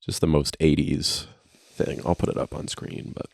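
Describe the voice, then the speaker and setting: Low-voiced laid-back man. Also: completely flat delivery, moderate pace, vocal fry, darkish tone. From Canada. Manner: laid-back, filler-heavy, self-mocking. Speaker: podcast co-host and editor. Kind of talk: casual chat. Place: friend's basement.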